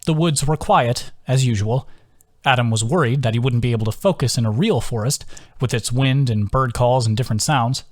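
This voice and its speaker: A man's voice: medium pitch, a little fast, no room sound, studio quality. A man reading scripted fiction as an audiobook, narrating and voicing the dialogue. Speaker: author reading own audiobook.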